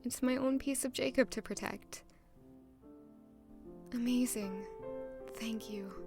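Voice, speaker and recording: Higher-voiced, gentle young lady. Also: slow, over music. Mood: polite, admiring. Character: gentle, sentimental young lady dressing for a ball. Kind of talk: audio drama with first-person narration.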